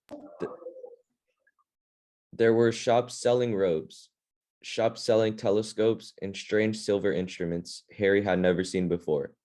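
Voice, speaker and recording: Guy reading a kids fantasy book out loud to a group, deep-ish mellow voice, nothing fancy. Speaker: reading club leader. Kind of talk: reading a children's fantasy novel aloud to a group.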